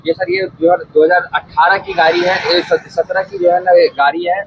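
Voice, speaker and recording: A man, a used car dealer giving an insistent sales pitch outdoors on a car lot. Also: level tone, muffled recording, noisy background. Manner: fast, insistent sales patter with filler phrases.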